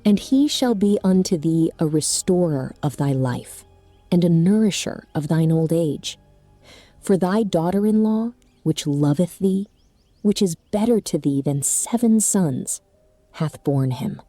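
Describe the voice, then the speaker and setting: A woman playing a reverent village woman blessing a neighbor in a dramatized scripture reading, with measured delivery. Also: fairly low pitch, animated, dark tone, no echo, soft background music.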